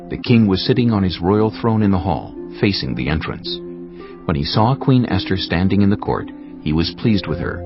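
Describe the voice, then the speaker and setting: A man narrating scripture dramatically from a script, with a gravelly voice and a solemn, deliberate manner. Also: low-pitched, muffled recording.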